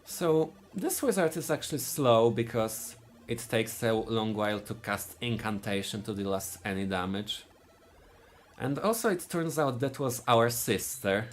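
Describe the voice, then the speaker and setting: A man from Poland with a Polish accent, giving live speedrun commentary in a halting way, often restarting his sentences. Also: medium pitch, animated, a little hiss or hum. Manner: halting, searching for words, frequent restarts.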